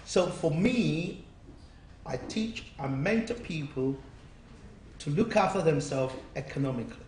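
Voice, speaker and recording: A man with a fairly high voice talks as a business coach and mentor, earnest and persuasive, often circling back over his own phrases. He sounds set back from the mic in an echoey room with a faint hiss behind him. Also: expressive, a little slow, darkish tone, distant mic. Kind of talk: interview answers.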